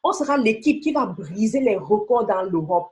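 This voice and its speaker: A woman's voice: medium pitch, sing-song, moderate pace, warm tone. A woman giving a motivational sales training talk, emphatic and coaxing, speaking straight to the listener. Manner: emphatic, coaxing, addresses listener directly with rhetorical questions. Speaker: beauty sales team leader and trainer.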